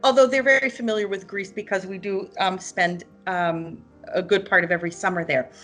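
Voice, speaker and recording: Hungarian-born mother with soft music behind. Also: mid-range voice, steady tone, a little fast. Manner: hesitant, many ums and restarts. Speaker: parent giving a testimonial. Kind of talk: unscripted testimonial.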